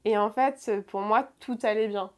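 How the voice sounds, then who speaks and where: A woman's voice: medium pitch, steady tone, normal speed. Hesitant young woman telling her story. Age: 20s. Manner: hesitant, many fillers and restarts. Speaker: guest. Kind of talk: personal confession in conversation.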